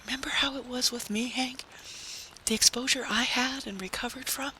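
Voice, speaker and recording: A woman's voice: high-pitched, fairly even intonation, normal speed, bright, forceful tone, rough recording. A woman playing an earnest, yearning wife who pleads with her husband in a dramatic science fiction story. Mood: earnest pleading. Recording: faint background noise.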